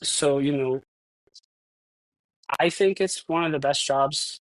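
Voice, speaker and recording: A man's voice: fairly high pitch, slow pace, low-quality recording. A man of thirty-one, a stuntman guest in a casual interview, talking reflectively with many restarts. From United States. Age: 30s.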